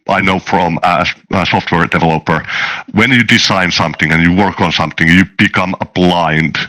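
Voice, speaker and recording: A man's voice: sits low, sharp tone, low-quality recording. A man in a casual panel discussion, speaking in a hesitant, stop-start way and repeating words. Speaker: game designer with a software background.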